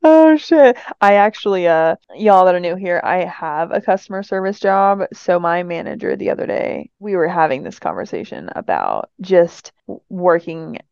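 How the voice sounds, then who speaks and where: A woman co-hosting a casual podcast chat, with a raspy voice and a blunt, swearing manner. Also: fairly low pitch, very animated, normal speed, darkish tone, no room sound, highs cut off. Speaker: podcast co-host.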